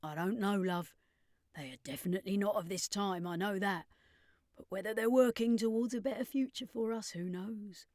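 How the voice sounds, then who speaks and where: A woman's voice: mid-range voice, normal speed, a little mellow, no echo, close to an everyday voice. A woman as streetwise vampire woman, blunt, wary, colloquial, audiobook, dry sound. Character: brash, streetwise, suspicious female vampire, protective of children.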